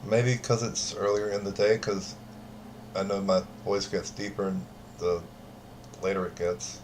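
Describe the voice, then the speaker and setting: Man, lowish voice, deadpan card reader, casual game chat, light hiss. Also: measured pace. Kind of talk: casual chat, reading cards aloud.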